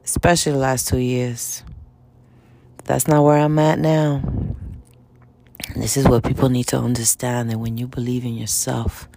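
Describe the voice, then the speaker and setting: A woman of fifty-eight speaks with a New York accent and plenty of gravelly fry in her voice. She gives informal, motivational talk straight to the listener. Her delivery is emphatic, with short phrases repeated like mantras. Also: extremely low pitch, little pitch movement, normal speed, long pauses, dark tone, slight background noise. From Dominican Republic, raised in Washington Heights, New York City. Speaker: spiritual self-help vlogger.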